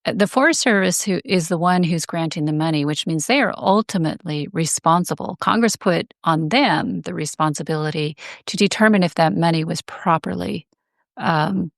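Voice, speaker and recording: A woman explaining things as an interview guest, in a measured, detailed, conversational way. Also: on the low side, gravelly, dry recording, studio quality. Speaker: guest, advocacy group director.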